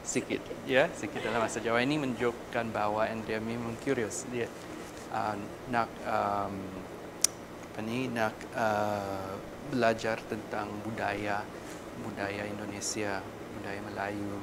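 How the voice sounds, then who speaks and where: A man with a Malay accent comments casually in a flat, even voice. He speaks haltingly, searching for words, over clearly audible background noise. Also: fairly low pitch.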